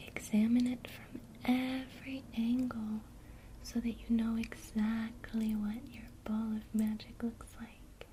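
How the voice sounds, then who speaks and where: A woman teaching a witchcraft lesson in a soft, unvoiced ASMR whisper, guiding the listener gently and speaking straight to them. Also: on the high side, slight background noise. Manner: slow, soothing, intimate, addresses the listener directly. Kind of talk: whispered ASMR guided visualization.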